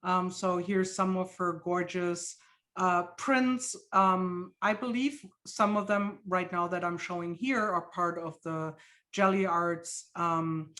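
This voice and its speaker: A woman's voice: fairly low pitch, slow pace, fairly bright tone. A woman from Germany with a German accent, hosting an art webinar over a video call in a casual, chatty way with many fillers. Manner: chatty, with frequent fillers and laughs. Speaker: host. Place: home studio.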